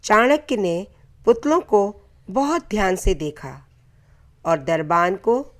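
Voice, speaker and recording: A woman storyteller narrating a scripted moral folktale in short, deliberate phrases. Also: mid-range voice.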